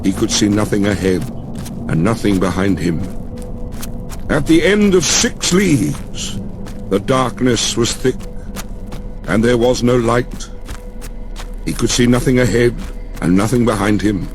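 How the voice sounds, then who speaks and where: A man narrates an epic audiobook in a solemn, storytelling way. His pace is unhurried and his pitch moves widely from phrase to phrase. Music plays behind him. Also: animated. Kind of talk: dramatized scripted narration.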